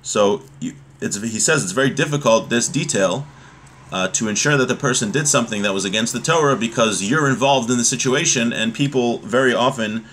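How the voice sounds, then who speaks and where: A man's voice: mid-range voice, brisk, fairly bright tone. A man in his thirties with an American accent teaching a religious text informally, reading and then explaining, often rephrasing himself. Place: small home room, indoors. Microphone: webcam or laptop mic at desk distance. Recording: clear background room noise.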